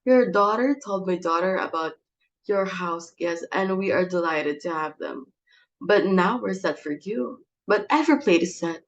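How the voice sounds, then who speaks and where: A woman's voice: fairly low pitch, measured pace, highs cut off, natural voice. A woman playing an exasperated, imperious society mother. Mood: pleading politeness. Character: domineering, melodramatic middle-aged society hostess and mother. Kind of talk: dramatic reading of a stage play.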